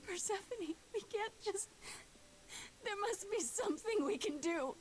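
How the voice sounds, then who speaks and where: A woman's voice: very high-pitched, lively intonation, unhurried. An actress playing a distraught, grieving young woman in a dramatic video game, with an emotional, pleading manner. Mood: anguished.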